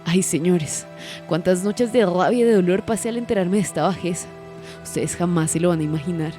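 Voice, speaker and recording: A woman acts out a refined lady witness in an audio drama. The voice is lowish and very mellow. She speaks formally, with melodramatic injury, over a light bed of music. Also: fairly quick, natural voice. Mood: indignant, pained. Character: refined, theatrically wounded upper-class lady witness.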